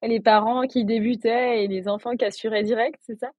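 A woman's voice: fairly high pitch, fairly even intonation, a little fast, darkish tone, dry recording. French woman hosting a podcast, dry close sound. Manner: friendly, laughs easily, hesitant questions. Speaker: podcast host. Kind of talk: podcast interview.

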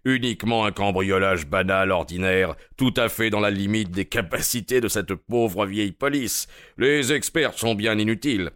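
A man's voice: on the low side, normal speed, hardly any pauses, a bit bright, no echo, studio quality, natural voice. A man voicing a bustling, pompous veteran police inspector in an audiobook, self-satisfied in tone. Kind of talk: audiobook character reading.

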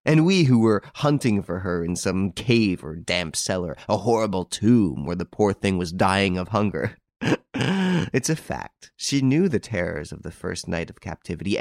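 A man voicing an assured, wry gentleman investigator in an audiobook story, speaking theatrically and without hesitation. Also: sits low, no echo, studio quality.

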